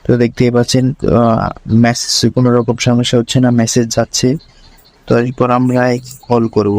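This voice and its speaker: A man's voice: medium pitch, monotone, normal speed, darkish tone, dry recording. A man presenting a screen tutorial step by step, linking each instruction to the last in a repetitive way.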